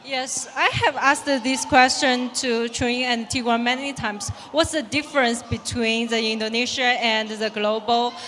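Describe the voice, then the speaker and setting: A woman's voice: on the high side, fairly even intonation, a little slow, hardly any pauses, a bit bright, a little hiss or hum. A woman moderating a live panel discussion, summing up the answers and posing questions. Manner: poses questions, sums up answers. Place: conference stage at a live event. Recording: reverberant live conference hall.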